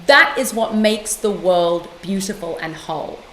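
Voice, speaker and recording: A middle-aged woman from London, speaking as an interview guest in a passionate, emphatic way with quick restarts. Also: mid-range voice, expressive, fairly bright tone, reverberant, slight background noise. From London, England. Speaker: guest author and yoga teacher. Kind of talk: conversational interview.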